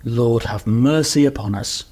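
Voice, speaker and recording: An actor playing a stern, exasperated knight in a comic radio play, brusque, loyal and declamatory. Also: male voice, medium pitch, a little slow, loud music behind the voice, lightly acted. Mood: resigned. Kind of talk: radio play comedy acting.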